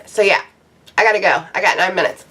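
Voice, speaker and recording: A woman's voice: mid-range voice, fast, sharp tone, distant mic, slight background noise. A woman in her thirties giving casual, blunt, profane advice to her viewers in a loose, rambling vlog rant. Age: 30s. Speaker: YouTuber.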